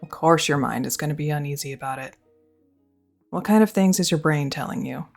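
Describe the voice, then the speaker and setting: A woman's voice: low voice, brisk, vocal fry, soft background music. A young woman performing a scripted girlfriend roleplay, speaking close to the mic in an affectionate, teasing and reassuring way.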